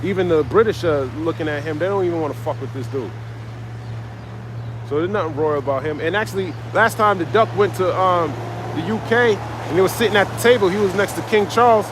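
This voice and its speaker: Confrontational high-voiced male street preacher. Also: fairly quick, noisy background. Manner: confrontational, profane, reads and expounds scripture. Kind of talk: live-streamed preaching.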